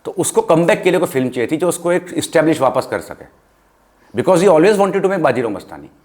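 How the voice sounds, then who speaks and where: A man's voice: on the high side, very animated, brisk, a little mellow. An Indian man in a casual film discussion, speaking emphatically and giving firm opinions, mixing English phrases into his Hindi.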